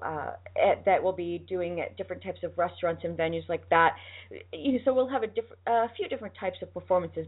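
An American woman hosting a radio show, chatting casually and enthusiastically through announcements and sponsor plugs in a rambling, informal way. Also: medium pitch. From United States, lived in Boston. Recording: thin, phone-like band-limited sound.

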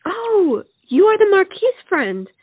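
A woman's voice: extremely high pitch, big pitch swings, takes it slowly, dry recording, narrow, tinny audio, full character voice. An actress playing a bold, poetic young lady of a wealthy colonial family, forthright and theatrical in a comic audio play. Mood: eager, charmed.

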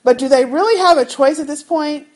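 High, lively woman co-hosting a podcast. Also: brisk. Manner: skeptical, easygoing, slangy. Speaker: podcast co-host. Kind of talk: casual chat.

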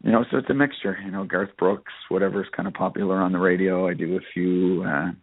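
Low-pitched Canadian man over a phone-like line. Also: steady tone, fairly quick, dry recording. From Nova Scotia, Canada. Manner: rambling, conversational, peppered with "you know". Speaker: country singer, interview guest. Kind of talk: casual interview talk. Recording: narrow phone-like band.

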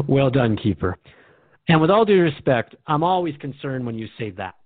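A man's voice: mid-range voice, sing-song, normal speed, no echo. A man speaking as a radio host in a conversational drama dialogue, reflective and earnest. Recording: dry, narrow phone-like sound.

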